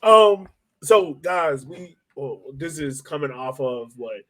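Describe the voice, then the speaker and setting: A man's voice: on the high side, animated, slow pace, a bit bright. A man in his thirties hosting a casual podcast chat in a joking, teasing manner. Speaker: podcast host.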